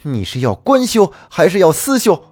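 A man voicing a hot-tempered young male shop clerk, shouting and indignant. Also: high voice, expressive, moderate pace, husky, dry recording, faint music behind, pitched above the performer's own voice. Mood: furious.

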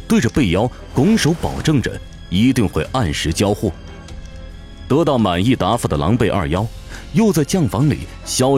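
Male narrator, mid pitch, hugely varied intonation, dramatic fantasy storytelling, clear music backing. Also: a little mellow. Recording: prominent music behind the voice.

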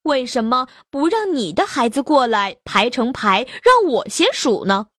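A woman's voice: sits high, big pitch swings, a little slow, fairly bright tone, no room sound, clean studio sound, voice pushed down, full character voice. A woman voicing a proud, boastful old female turtle in a children's story. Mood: gruff. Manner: boastful, scornful. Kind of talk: storybook character voice.

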